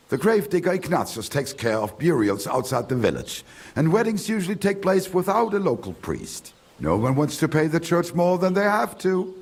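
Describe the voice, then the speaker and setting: A husky, animated German merchant. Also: male voice, high voice, exaggerated voice. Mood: condescending. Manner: slips German phrases into speech, condescending. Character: grumbling male German village merchant, brusque and worldly. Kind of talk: video game character dialogue.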